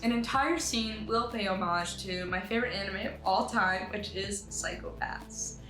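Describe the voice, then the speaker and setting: A woman giving an articulate, earnest scripted pitch as a filmmaker presenting her project, with some light asides. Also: normal speed, pushed and bright. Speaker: animator and writer pitching a short film. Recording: roomy echo with music behind. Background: music.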